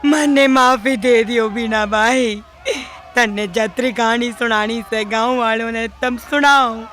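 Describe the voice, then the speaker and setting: Tearful, sharp-voiced Rajasthani girl acted out. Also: a little high, fairly even intonation, slow pace, slight background noise. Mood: terrified pleading. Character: terrified pleading village girl.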